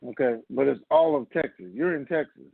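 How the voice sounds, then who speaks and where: A man's voice: medium pitch, a little fast, gravelly, no room sound. A man hosting a call-in conference call, talking casually and off the cuff in a plain-spoken, folksy way. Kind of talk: casual unscripted phone talk with callers. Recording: muffled narrow phone line.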